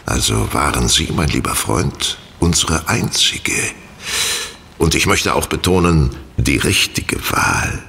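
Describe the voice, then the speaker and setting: Deep, creaky, scheming Vatican cardinal. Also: male voice, animated, bright, forceful tone, a little hiss or hum, full character voice. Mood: suave, insinuating. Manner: pompous, deliberate and insinuating. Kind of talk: audio drama acting.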